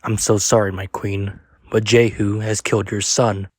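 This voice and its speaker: A man's voice: fairly low pitch, little pitch movement, moderate pace, no echo, clean studio sound, lightly acted. An actor playing a solemn, deferential messenger to a queen in an audio drama, grave in manner. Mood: grave, sorry. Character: solemn messenger to a queen bearing bad news.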